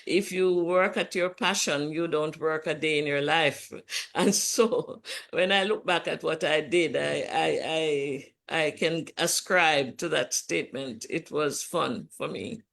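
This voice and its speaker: An older woman from Jamaica, a guest being interviewed, reflective and quick to chuckle. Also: sits low, creaky, a bit bright. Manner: chuckling, reflective, repeats words as she gathers thoughts. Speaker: guest author. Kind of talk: interview conversation.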